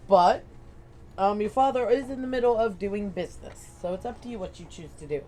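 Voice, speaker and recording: A woman's voice: medium pitch, a little fast, a little mellow. A woman running a tabletop game as game master, narrating in a chatty, rambling, self-deprecating way.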